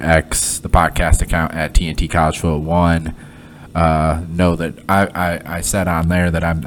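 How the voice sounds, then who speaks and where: Low-voiced man, stuffy nasal head cold, halting casual podcast talk, faint background noise. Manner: halting, many fillers and restarts. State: sick with a sinus cold. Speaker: podcast host; former head coach. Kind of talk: casual solo podcast chat.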